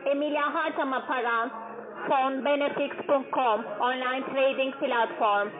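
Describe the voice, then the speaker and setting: Slow, high-voiced woman on phone. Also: fairly even intonation, crowd noise. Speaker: call center sales agent. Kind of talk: phone sales call. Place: phone call. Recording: tinny phone line with loud music. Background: music.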